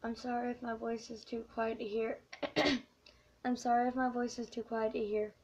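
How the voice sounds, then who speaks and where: A teenage girl vlogging late at night, hoarse and quiet, speaking in a hushed, halting way with many fillers. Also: fairly high pitch, level tone. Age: teens. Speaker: YouTuber. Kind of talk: late-night confessional vlog.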